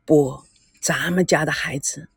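This is a gentle old grandfather in a storybook, slow and soft, pausing as he speaks, voiced by a woman narrator. The voice sits lower than her own, with a husky edge. It is a dry, close recording. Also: steady tone, dry recording, faint music behind, exaggerated voice. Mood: calm, solemn. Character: gentle old grandfather revealing a family secret. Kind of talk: audiobook character voice.

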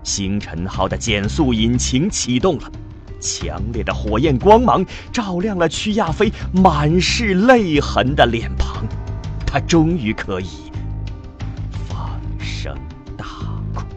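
A man's voice: mid-range voice, big pitch swings, slow. A man narrating a science fiction radio drama as a solemn, emotional storyteller. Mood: solemn, moving. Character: solemn, emotional male storyteller of a sci-fi tragedy. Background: prominent music.

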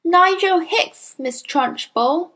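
A woman's voice: very high voice, takes it slowly, sharp tone, pitched above the performer's own voice, exaggerated voice. A woman voicing a brave, cheeky small schoolboy who answers back politely, reading from a storybook.

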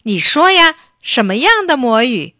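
A woman's voice: high-pitched, big pitch swings, unhurried, bright, forceful tone, dry recording, studio quality, voice pushed up, exaggerated voice. A woman voicing a hot-tempered, sulky little boy in a children's story, in an emphatic childlike manner. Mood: eager.

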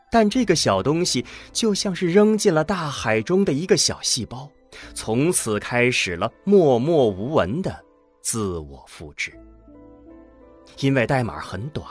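A man narrating a scripted science fiction audio drama in a dramatic storytelling manner, landing phrase endings deliberately. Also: medium pitch, breathy edge. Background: music under the voice.

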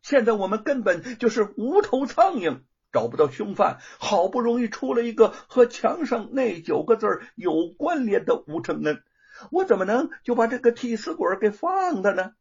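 A storyteller voicing a pompous, easily frightened middle-aged official with a husky voice. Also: mid-range voice, big pitch swings, moderate pace, darkish tone, lo-fi sound, pitched above the performer's own voice. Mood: scolding. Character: panicked pompous middle-aged male prefect.

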